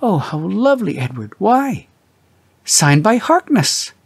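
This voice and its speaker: Man as older wife, audiobook character, higher voice, worried, excitable, tender, questioning, dry sound. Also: slow pace, vocal fry, husky, no room sound, full character voice. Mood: amazed excitement. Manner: higher-pitched, questioning, exclaiming.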